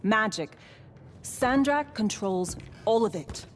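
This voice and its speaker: An actress playing a steady, wry fugitive mage companion in a video game, measured, dry and reassuring. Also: female voice, mid-range voice, slight background noise. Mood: grave, wry. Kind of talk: cinematic game acting. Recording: faint background ambience.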